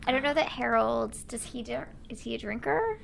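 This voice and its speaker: A woman improvising casually as a player in a tabletop roleplaying game, speaking in a halting, repetitive, slangy way with lots of "like". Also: on the high side, very animated, slight background noise. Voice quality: creaky. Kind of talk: casual in-character improvised chat.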